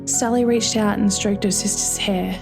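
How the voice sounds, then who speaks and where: A woman's voice: medium pitch, steady tone, normal speed, vocal fry, music in the background. A woman reading a scripted horror story as its narrator.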